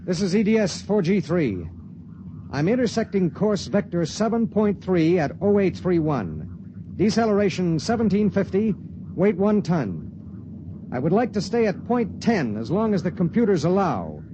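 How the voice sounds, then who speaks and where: An actor playing a grim, weary spaceship pilot in a 1950s radio drama, speaking and narrating in a terse, hard-boiled, measured way. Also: male voice, high-pitched, sing-song, dark tone, slight background noise. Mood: clipped, official. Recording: muffled 1950s radio recording, music behind.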